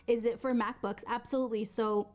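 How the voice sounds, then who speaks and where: A chatty woman hosts a live stream from her basement studio at home and shows off keyboards in a loose, rambling way. She fills her talk with lots of "um"s and "like"s and restarts her sentences. Her voice sounds a little deeper than usual because she is sick. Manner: chatty, many fillers and restarts. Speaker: livestream product host. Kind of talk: casual live-stream product demo.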